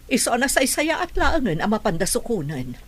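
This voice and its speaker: A woman with an Ilocano Filipino accent playing a gentle, anxious middle-aged mother in a radio drama, earnest and emotive. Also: fairly high pitch, big pitch swings, brisk, breathy edge. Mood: uneasy.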